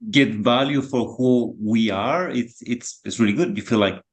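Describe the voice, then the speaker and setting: A man in his thirties from Chile with a Chilean Spanish accent, speaking as a podcast guest in a halting, soft-spoken way with many fillers. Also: mid-range voice. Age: 30s. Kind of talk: casual reflective conversation.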